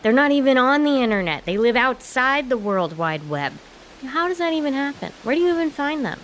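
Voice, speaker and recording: A woman's voice: sits high, sing-song, fairly quick, a bit bright. An actress playing an exasperated, sarcastic American daughter in her twenties in a comedic audio drama. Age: 20s. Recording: faint background hiss.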